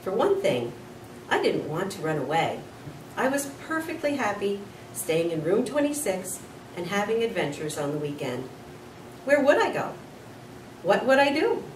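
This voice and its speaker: A woman's voice: medium pitch, normal speed, long pauses. A woman reading a children's storybook aloud expressively, as a school nurse would to pupils. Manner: expressive, with small slips and corrections. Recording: roomy, distant mic with faint noise.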